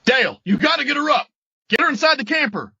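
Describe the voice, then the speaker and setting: A man playing an intense, strained survivor in a zombie horror comic dub, his voice very raspy. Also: very high-pitched, very animated, fast, pushed and bright, highs cut off, slight background noise, exaggerated voice. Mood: urgent.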